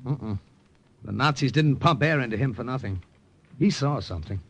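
A man's voice: mid-range voice, lively intonation, moderate pace, fairly bright tone, slightly rough. An actor playing an American spy who narrates his wartime mission in a plain, matter-of-fact American voice. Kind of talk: 1940s radio drama narration.

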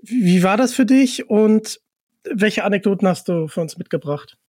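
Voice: mid-range voice, expressive, normal speed, darkish tone, no room sound, studio quality. An interviewer talking conversationally and appreciatively with a guest.

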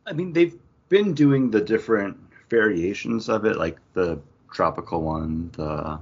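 A man with a husky voice, chatting casually as a podcast co-host. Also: on the low side, lively intonation, a little slow. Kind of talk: casual chat. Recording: dull lo-fi audio.

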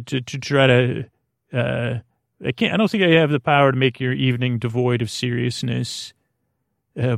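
A man hosting a sleep podcast, rambling in a gently silly, soothing way, halting and circling back on his words with a gravelly creak. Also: mid-range voice, no echo, studio quality. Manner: meandering, halting, trailing off mid-word and circling back. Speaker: podcast host. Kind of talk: rambling bedtime-story monologue to help listeners fall asleep.

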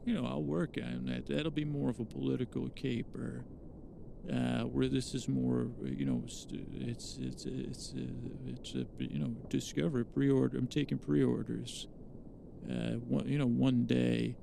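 A man with a creaky, gravelly voice, rambling through an improvised storytelling monologue as a host, halting and trailing off mid-thought. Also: medium pitch, flat delivery, noisy background.

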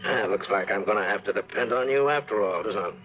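An actor playing a greedy, nervous treasure hunter who talks feverishly and wheedles in a 1950s radio drama. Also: male voice, fairly low pitch, fast talker, natural voice. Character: greedy, jittery treasure hunter, middle-aged man. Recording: muffled 1950s radio broadcast with music.

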